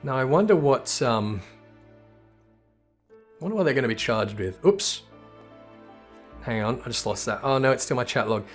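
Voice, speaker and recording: A man's voice: mid-range voice, animated, normal speed, long pauses, faint music behind. Man casually commentating on a game. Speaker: game streamer reading quest dialogue aloud.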